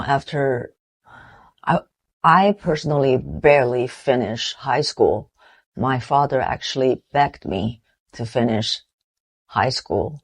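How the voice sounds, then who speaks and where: A woman in her forties from Taiwan with a Taiwanese accent, giving an earnest, reflective motivational talk with halting restarts. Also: very deep voice, husky. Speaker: motivational vlogger.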